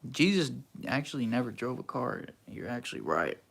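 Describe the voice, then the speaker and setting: A man's voice: medium pitch, big pitch swings, moderate pace, vocal fry, fairly bright tone. A young man from Texas, hosting a casual livestream and answering chat in a laid-back, slangy, teasing way. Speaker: livestream host reading chat.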